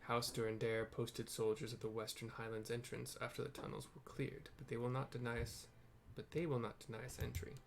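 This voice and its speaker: A man's voice: mid-range voice, flat delivery, a little fast, vocal fry, hardly any pauses, slight background noise, natural voice. Man reading as formal, earnest fantasy knight. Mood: measured, formal. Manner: formal, wordy, occasionally stumbling. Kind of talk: archaic fantasy dialogue read aloud.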